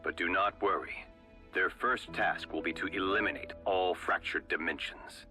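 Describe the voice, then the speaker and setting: An actor playing a ruthless, commanding older company chief in a video game, grave, measured and grandiose. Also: male voice, deep voice, natural voice. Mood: calm, assured. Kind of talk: video game cutscene acting. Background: faint background music.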